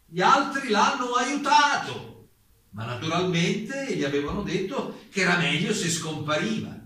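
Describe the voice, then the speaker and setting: A man's voice: high-pitched, big pitch swings, bright, forceful tone, heavily acted. A man playing an insistent, explanatory German playwright answering a committee in an echoey stage reenactment. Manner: emphatic, deliberate, repeats denials. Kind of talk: theatrical dialogue, hearing reenactment. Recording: very echoey room, distant mic, faint noise.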